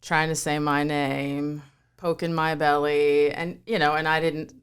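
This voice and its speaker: A woman in her fifties, born in Croatia with Croatian as her first language, talking calmly as a guest in an interview. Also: low voice, unhurried, smooth. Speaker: guest, yoga studio owner. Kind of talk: relaxed interview conversation.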